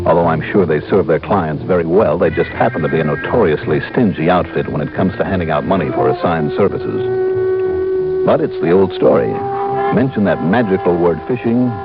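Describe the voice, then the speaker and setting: A wry, tough private investigator from old radio drama, in a low voice. He talks in an assertive, hard-boiled way. The recording is muffled and vintage, with prominent music. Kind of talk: 1960s radio drama acting and first-person narration.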